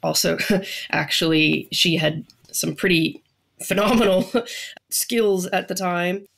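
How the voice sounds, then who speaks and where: A middle-aged woman with a Canadian accent, telling her story in a measured, reflective, conversational way as an interview guest. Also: on the low side, creaky, fairly bright tone. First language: English.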